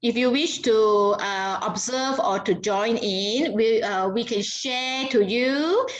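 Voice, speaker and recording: Higher-voiced woman, groping for words. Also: takes it slowly, poor audio. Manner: searching for words, repeating herself. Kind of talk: casual video call chat. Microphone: video call.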